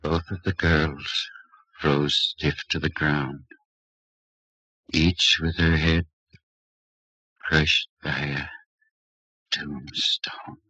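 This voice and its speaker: An actor playing a gruff, commanding small-town doctor in an old-time radio horror drama, stern and bossy. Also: male voice, a bass voice, little pitch movement, very slow, long pauses, sharp tone, no room sound, full character voice. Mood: stunned, grave. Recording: dull vintage radio recording.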